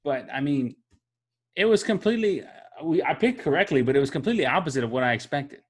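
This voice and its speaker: A man's voice: fairly high pitch, animated, quick pace, breathy edge. A man co-hosting a casual sports chat, laid-back and chatty. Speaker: podcast co-host.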